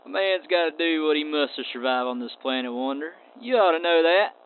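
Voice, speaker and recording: A man's voice: on the high side, lively intonation, a little fast. A man voicing a gloating outlaw villain in a space western radio play, with a mock-polite, folksy drawl. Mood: smug menace. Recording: tinny band-limited audio with clear noise.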